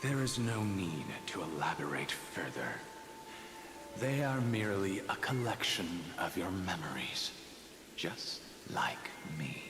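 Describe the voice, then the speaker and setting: Very deep, echoing ghostly man. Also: sing-song. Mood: grave, foreboding. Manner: slow, formal, foreboding. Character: ghostly shadow of memory, grave ominous man. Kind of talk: scripted video game drama. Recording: echoing long reverb over music.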